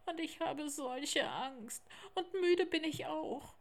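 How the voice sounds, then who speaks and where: Storybook tiger cub, little boy, high childlike voice, anxious and homesick; played by a woman. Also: takes it slowly. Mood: sad, frightened.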